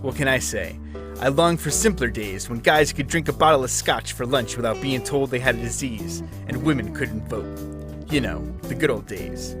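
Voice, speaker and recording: A man giving dry, deadpan hard-boiled detective narration in a comic noir parody. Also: mid-range voice, moderate pace, over music.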